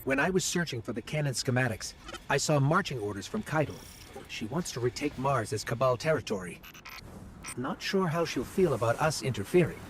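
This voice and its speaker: A man's voice: medium pitch, lively intonation, a little fast, noisy background, natural voice. A man voicing a small floating robot companion in a video game, earnest, anxious and chatty. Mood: focused, wary. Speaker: companion guide to the player.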